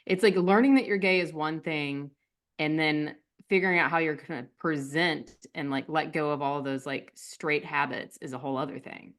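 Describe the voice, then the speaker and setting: A woman co-hosting a podcast, chatting casually in a relaxed, reflective and agreeable way. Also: low voice, normal speed. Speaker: podcast co-host. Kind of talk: casual chat.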